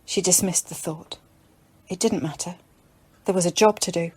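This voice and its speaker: A woman with a slightly low voice reads an audiobook. She delivers scripted fiction as dramatic third-person narration. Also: steady tone, normal speed, darkish tone, slightly rough.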